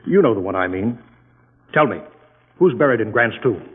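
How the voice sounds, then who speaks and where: An actor playing a boisterous, joke-loving American out-of-towner in a mid-century radio comedy-drama, hearty and gabby. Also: male voice, lowish voice, big pitch swings, moderate pace, very noisy. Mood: jovial, eager. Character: boisterous, joke-loving out-of-town male visitor, hearty and tireless. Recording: tinny band-limited old radio broadcast.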